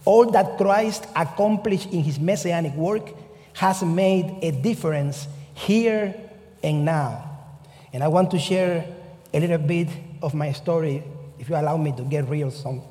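Slow Cuban-accented pastor preaching, mellow voice. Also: male voice, a little high, long reverb tail. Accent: Cuban Spanish. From Cuba. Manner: deliberate, emphatic preaching with slow drawn-out phrases. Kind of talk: sermon.

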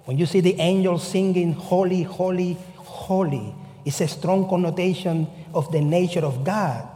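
A man from Cuba with a Cuban Spanish accent, a pastor delivering a sermon with deliberate, emphatic phrasing. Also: sits high, mellow, in a large hall. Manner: deliberate, emphatic preaching with slow drawn-out phrases.

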